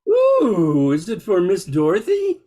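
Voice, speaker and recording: A dim but loyal gangster's henchman for a radio play. The voice is rough and slow, lurching up and down with goofy eagerness. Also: medium pitch, wildly swooping pitch, mellow, heavily acted. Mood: goofy, eager. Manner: slow-witted, goofy thug delivery. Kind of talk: amateur old-time radio drama acting.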